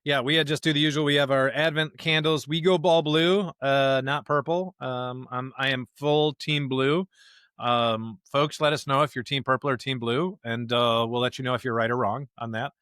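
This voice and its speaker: A man's voice: mid-range voice, steady tone, moderate pace, a bit bright, clean tone, no echo. Male American podcast host, mid-range voice, dry sound, chatty, informal pastor delivery. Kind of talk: casual podcast chat.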